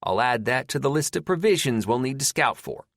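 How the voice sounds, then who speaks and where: A man's voice: medium pitch, very animated, brisk, vocal fry, fairly bright tone, dry recording, clean studio sound, voice pushed up, full character voice. A man voicing a thin, wiry male group leader who gives brusque orders. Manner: brusque, commanding.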